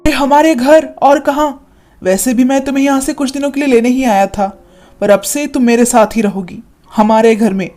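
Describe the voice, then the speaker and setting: A woman's voice: on the high side, a little slow, warm tone. A woman voicing an earnest young village brother. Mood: upset. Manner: earnest, protective, raised voice when upset. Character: young village man, caring protective brother.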